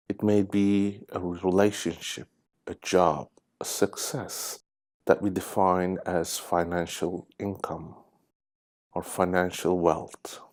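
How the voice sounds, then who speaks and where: Man, deep low voice, very slow pace, guided meditation narration, deliberate pauses. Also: a little mellow. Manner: measured, deliberate phrasing with pauses. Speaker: guided meditation host, hypnosis therapist.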